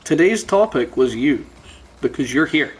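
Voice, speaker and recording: A man's voice: a little high, lively intonation, normal speed, slight background noise. A man hosting a casual podcast chat, talking in a sweary, teasing, bantering way. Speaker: podcast host.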